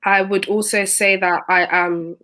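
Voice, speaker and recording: A woman's voice: medium pitch, level tone, unhurried, pushed and bright. A woman, a student introducing herself on a panel in a composed, articulate way. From England. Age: 20s. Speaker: student and youth chair.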